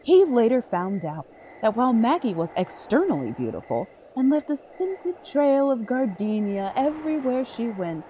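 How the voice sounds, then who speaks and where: A woman narrates a dark audio drama with expressive, swooping intonation. The sound is slightly muffled, with faint noise behind her. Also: on the high side, normal speed. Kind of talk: dramatic scripted horror-story narration.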